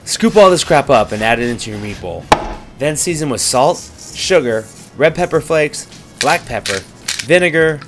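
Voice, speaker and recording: A young man narrating his cooking vlog with deadpan, irreverent jokes. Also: medium pitch, normal speed, music in the background. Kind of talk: joking cooking voice-over.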